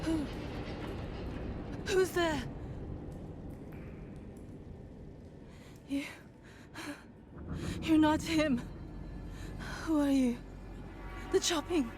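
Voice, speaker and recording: A slow, frightened female survivor. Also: high-pitched. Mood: frightened, wary. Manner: hesitant, halting. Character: frightened, shaken female survivor of a captive city. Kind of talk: dramatic video game acting. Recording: dramatic music under the voice.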